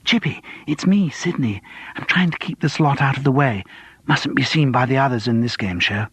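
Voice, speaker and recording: A man's voice: mid-range voice, animated, normal speed, a bit bright, husky, dry recording. A man voicing a flustered, anxious woman from a TV production team in an audiobook, with an urgent, hissing manner. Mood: hushed, harried. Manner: urgent, breathless hissing and gasping. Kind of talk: audiobook character dialogue.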